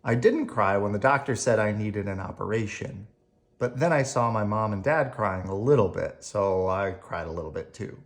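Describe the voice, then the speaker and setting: An adult reading a picture book aloud to children in an expressive storytelling style. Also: deep voice, normal speed.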